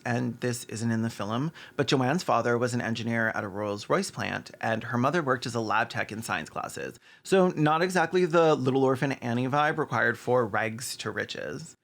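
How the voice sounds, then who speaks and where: A man with a Canadian accent delivering scripted comedic commentary in a sardonic, theatrical way. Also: medium pitch. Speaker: video essay host.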